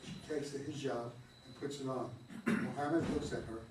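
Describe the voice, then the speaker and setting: A man's voice: mid-range voice, fairly even intonation, normal speed. A man reading stage directions aloud at a play reading, in a plain, matter-of-fact way. Recorded from a distance in a roomy theatre with faint noise.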